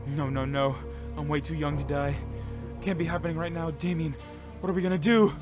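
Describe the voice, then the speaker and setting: A man's voice: fairly high pitch, little pitch movement, brisk, lightly acted. A man playing a sensitive, anxious young contestant and loyal friend in an audio drama, earnest and easily flustered. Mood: panicked. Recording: music behind the voice.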